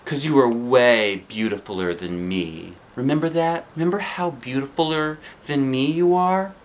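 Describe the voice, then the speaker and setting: A man's voice: mid-range voice, moderate pace, pitched above the performer's own voice, natural voice. A man voicing a cheeky, chatty kindergarten girl with a bouncy, emphatic way of talking. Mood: wheedling.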